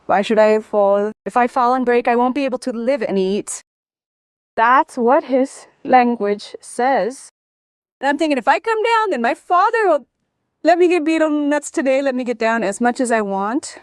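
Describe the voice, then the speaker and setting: Clear, high-voiced woman telling her story. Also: lively intonation, normal speed, dry recording. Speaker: interview guest. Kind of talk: personal storytelling.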